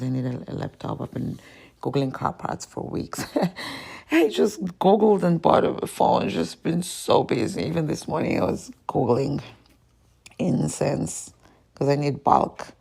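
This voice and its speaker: A woman's voice: rumbling low, moderate pace, creaky, a little mellow, slightly rough. A woman hosting her own podcast, talking alone in a rambling, self-questioning way and sometimes trailing off into a whisper. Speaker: podcast host. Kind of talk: solo confessional chat.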